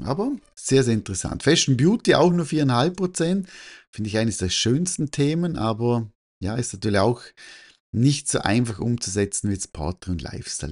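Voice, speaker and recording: Man with Alpine German accent, very lively intonation. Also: on the low side. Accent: Austrian-Swiss German. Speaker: photography teacher and newsletter host. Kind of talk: informal solo talk presenting survey results.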